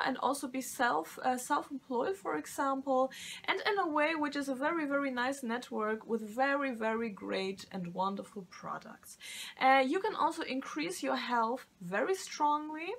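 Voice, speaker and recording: A middle-aged woman speaking English as a second language, her voice sitting a little high. She talks casually and hesitantly to her viewers, full of fillers, restarts and searched-for words. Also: fairly bright tone. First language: not English. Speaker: tarot reader and vocal coach. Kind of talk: casual talk to camera.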